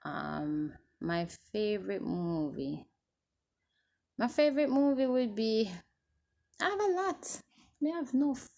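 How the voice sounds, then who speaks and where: A woman's voice: fairly high pitch, animated, slow, creaky, pauses often, dark tone. A woman in her twenties chatting casually to camera as she answers viewer questions, rambling and restarting as she loses her train of thought. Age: 20s.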